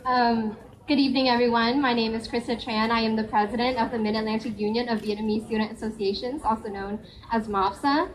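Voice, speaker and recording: A young American woman, a student association president, giving a prepared speech at a community event and reading briskly with small restarts. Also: a little high, fairly even intonation, slight background noise, poor audio. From United States. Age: young adult.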